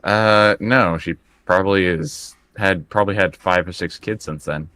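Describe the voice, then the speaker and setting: Low-voiced man, stammering roleplayer. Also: normal speed, a bit bright, no echo. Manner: hesitant, stammering, repeats words. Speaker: player in a tabletop roleplaying game. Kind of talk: improvised in-character tabletop play, conversational.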